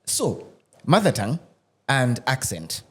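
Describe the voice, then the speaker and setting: A man talking casually on a podcast, telling stories with animation, laughing and restarting as he goes. His voice sits at a middle pitch for a man. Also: big pitch swings, takes it slowly, breathy edge. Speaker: actor and podcast guest. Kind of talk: casual roundtable chat. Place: podcast studio.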